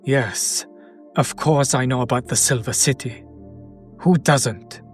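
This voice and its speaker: A man playing a sardonic, teasing demon in an intimate roleplay audio drama, speaking deliberately and directly to the listener. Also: mid-range voice, faint music behind, natural voice. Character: male demon buyer, sardonic, teasing, curious about his captive.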